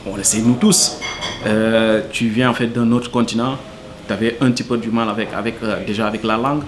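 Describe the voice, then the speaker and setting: A man's voice: fairly low pitch, fairly even intonation, brisk, background noise. A man from Senegal, answering interview questions earnestly and hesitantly, full of fillers. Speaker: cook, interviewee.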